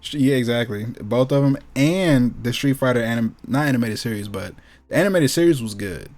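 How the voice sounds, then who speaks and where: A man's voice: mid-range voice, fairly quick, darkish tone. An American man co-hosting a gaming show in casual, slangy, profane and enthusiastic chat.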